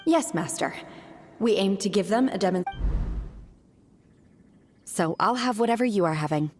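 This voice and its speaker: A woman plays a blunt, awkward young disciple in a video game. She delivers everything deadpan and formally in a mid-pitched voice, with faint music. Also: normal speed, natural voice.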